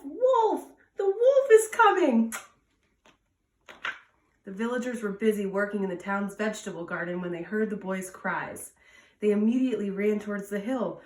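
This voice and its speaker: Female storytime reader, mid-pitched, children's read-aloud, echoey room, distant mic. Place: indoors at home. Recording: roomy echo, distant from mic.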